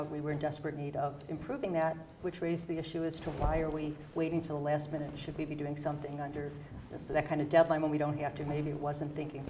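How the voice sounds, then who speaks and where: An American woman answering as a council candidate at a forum, recorded in a noisy room. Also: low-pitched. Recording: distant mic, noisy room.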